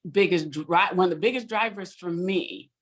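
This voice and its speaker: A woman sharing a personal testimony on a video call, earnest and halting with fillers. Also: fairly low pitch. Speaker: guest.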